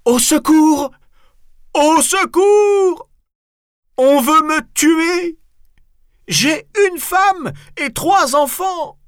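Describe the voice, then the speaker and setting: A man voicing a cowardly, panicked actor with a shrill, wailing delivery in a storybook. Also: lively intonation, extremely slow, pauses often, dry recording, studio quality, heavily acted. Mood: terrified.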